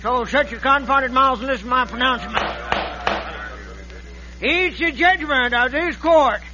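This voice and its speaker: An actor playing a bossy, blustering old frontier judge in a radio western, with a folksy American Western accent and crotchety manner. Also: male voice, very high-pitched, measured pace. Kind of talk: 1940s-50s radio western acting. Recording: noisy muffled old radio recording.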